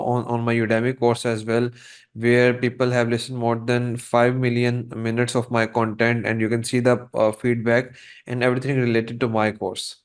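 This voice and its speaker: Instructor type, a man with Urdu or Hindi as his first language, even monotone delivery, mid-pitched, pitching his course in a measured, hesitant manner. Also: smooth. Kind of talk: promotional explainer to viewers.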